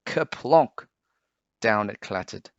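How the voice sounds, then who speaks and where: A man reading a children's storybook aloud in an expressive, dramatic way. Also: fairly low pitch, takes it slowly, gravelly, no echo, muffled recording.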